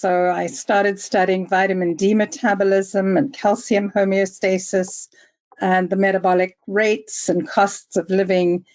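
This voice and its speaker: Woman, 60s, zimbabwean accent, measured storytelling, slightly dull lo-fi sound. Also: mid-range voice, darkish tone. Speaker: guest researcher. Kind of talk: interview answers, storytelling.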